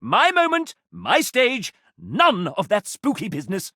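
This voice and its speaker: An actor voicing a sassy, flamboyant video game host, speaking in a grandiloquent, arch and melodramatic way. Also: male voice, high-pitched, wildly swooping pitch, a little slow, bright, forceful tone, no room sound, clean studio sound, exaggerated voice. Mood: indignant, theatrical. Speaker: voice actor playing a game show host character. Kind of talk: theatrical video game character acting as a game show host.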